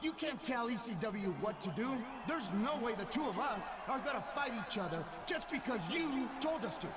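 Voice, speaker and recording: An actor playing a cocky, scheming pro wrestler in a video game, with streetwise, slangy delivery. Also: male voice, extremely high pitch, lively intonation, moderate pace, big-room sound. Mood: defiant.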